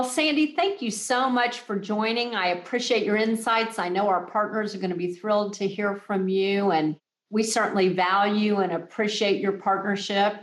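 Woman, podcast host, upbeat, room echo on the voice. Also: mid-range voice. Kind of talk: corporate podcast interview. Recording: roomy echo.

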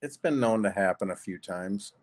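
A man's voice: on the low side, animated, moderate pace. A man with a nasal voice, chatting easily as a podcast guest. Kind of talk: casual chat.

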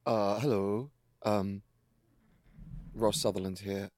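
Low-voiced, hesitant man, slow pace. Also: steady tone, a little mellow, no room sound, studio quality. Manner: hesitant, pausing often. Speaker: writer and podcaster, featured artist. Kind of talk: casual spoken explanation.

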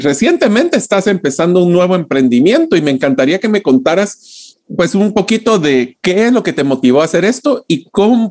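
Higher-voiced podcast host. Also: male voice. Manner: reads guest bio, then chats warmly. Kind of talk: podcast interview.

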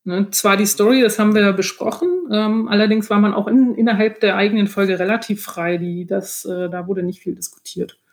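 A woman with a mid-pitched voice talks as a guest author in a relaxed interview. She feels her way through sentences in a conversational, unscripted way. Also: a little fast, hardly any pauses. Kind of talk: casual interview talk.

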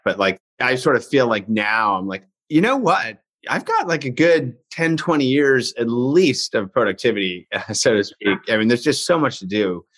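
A fifties man with a somewhat low voice who hosts in an easygoing, thoughtful way. He often lets his sentences drift before he picks them back up. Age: 50s. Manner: relaxed, musing, trailing phrases. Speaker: podcast host. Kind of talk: conversational podcast interview.

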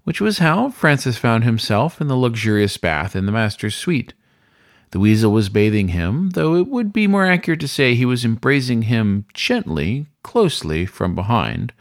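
A man's voice: mid-range voice, gravelly, dry recording, clean studio sound. A man narrating a scripted short story in a dramatic storytelling style.

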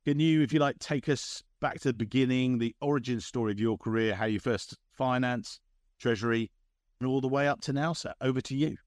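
A man's voice: medium pitch, a little fast, dry recording, studio quality. A man hosting an interview podcast, presenting guests and putting questions to them in a presenter's style. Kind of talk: scripted podcast intro and interview.

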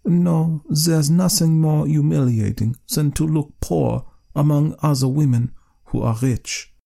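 A man's voice: a little high, measured pace, gravelly, warm tone, pitched above the performer's own voice. A man putting on a plaintive, discontented young wife. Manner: plaintive, pained raised voice.

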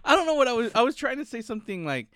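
Man in his thirties, podcast host, lively pitch, dry close sound, slight cough. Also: rapid-fire, smooth, no room sound. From Chicano, United States. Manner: laughs often, rambling. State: slight cough, deeper voice than usual. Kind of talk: casual chat.

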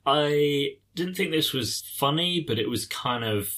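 A man's voice: on the high side, expressive, a little slow, a bit bright. An English man in casual conversation about a film, thoughtful and hesitant, often trailing off mid-sentence. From England.

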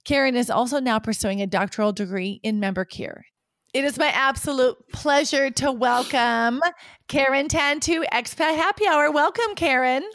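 A fairly high-pitched woman hosts a podcast interview in an upbeat, chatty way. Her voice is close to the mic and dry, with no room sound. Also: normal speed, a bit bright, no room sound. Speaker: podcast host.

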